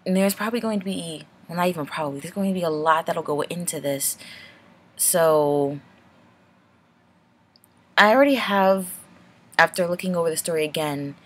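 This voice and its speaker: Nervous, low-pitched young woman. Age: young adult. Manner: hesitant, apologetic, nervous. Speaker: channel host giving an update. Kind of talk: casual rambling update.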